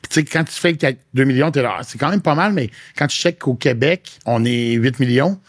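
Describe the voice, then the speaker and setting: A man's voice: mid-range voice, brisk, no room sound, clean studio sound. A man with a Québécois French accent, a fitness coach talking frankly as a podcast guest, slipping English slang into casual chat. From Quebec.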